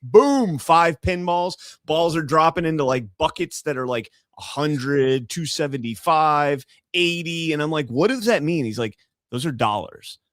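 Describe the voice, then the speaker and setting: A man's voice: fairly high pitch, unhurried, no room sound, clean studio sound. A man hosting a podcast in casual chat, telling stories with lots of energy and singing a few lines of songs now and then. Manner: animated storyteller, sings snatches of songs. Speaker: podcast host.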